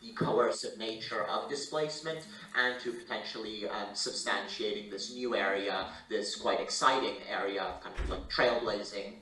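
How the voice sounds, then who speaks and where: Low, bright-voiced man in an echoey room. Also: moderate pace, hardly any pauses. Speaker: legal panelist at a conference. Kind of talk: explanatory panel remarks on international law. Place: indoor conference room. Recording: roomy echoing hall, distant mic.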